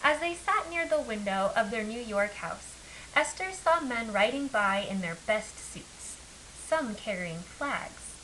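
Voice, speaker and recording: A woman reading a children's picture book aloud as an expressive storyteller. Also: fairly high pitch, measured pace, low-quality recording. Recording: clear background hiss.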